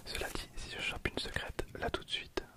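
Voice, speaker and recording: A man speaks in a breathy, unvoiced whisper, giving informal ASMR commentary. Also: moderate pace. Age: 20s. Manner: informal, murmured running commentary. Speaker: ASMR video creator. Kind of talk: whispered ASMR with casual commentary on trading cards.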